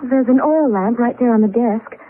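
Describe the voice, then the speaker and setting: An actress in a 1970s radio drama playing an anxious, hesitant wife stranded in the past. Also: female voice, a little high, normal speed, slight background noise, close to an everyday voice. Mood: curious, uneasy. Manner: hesitant, fretful. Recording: narrow-band tinny vintage radio recording.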